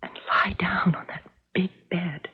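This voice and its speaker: An actress playing a wistful, apprehensive woman falling for a stranger in a 1970s radio drama, speaking in a hesitant, dreamy, breathy way. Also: fairly low pitch, steady tone, in a whisper. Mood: dreamy, longing. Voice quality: breathy, husky. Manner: hesitant, dreamy, halting repetitions. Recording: dull, lo-fi 1970s radio recording.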